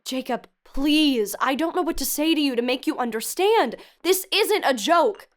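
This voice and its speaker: A woman's voice: sits high, expressive, moderate pace. An actress playing a cautious, analytical female researcher who investigates for a podcast, earnest and articulate, in an audio drama. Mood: desperate pleading. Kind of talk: audio drama acting, dialogue and narration.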